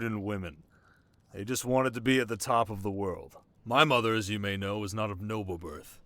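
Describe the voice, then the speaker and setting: An actor playing a sharp, haunted young king in an intimate ASMR romance roleplay, talking wryly and dramatically to his listener. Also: fairly low pitch, dry recording. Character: young desert king, sharp, wry, haunted, teasingly tender.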